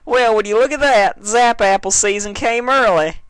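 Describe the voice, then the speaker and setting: A man's voice: sits high, moderate pace, fairly bright tone, smooth, no echo, voice pushed up, poor audio. A man voicing a confident, teasing female farm pony with a folksy manner in a story reading.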